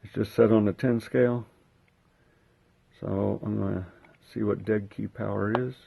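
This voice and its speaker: A man giving a casual hands-on demonstration, thinking aloud in an unhurried way as he handles the gear. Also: fairly low pitch, fairly even intonation, vocal fry, warm tone. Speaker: host of a gear unboxing and testing video.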